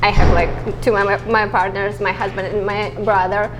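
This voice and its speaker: A woman from Russia in her thirties with a Russian accent, answering interview questions as a startup founder, haltingly and with frequent laughs. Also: mid-range voice, little pitch movement, slight background noise, rough recording. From Dagestan, Russia. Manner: halting, restarts words, laughs often.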